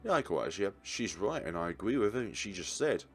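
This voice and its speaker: A man's voice: on the low side, very animated, fast talker. A man reading a game's narration and dialogue aloud as a let's player, with casual asides. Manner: casual asides and short reactions. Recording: faint game music behind.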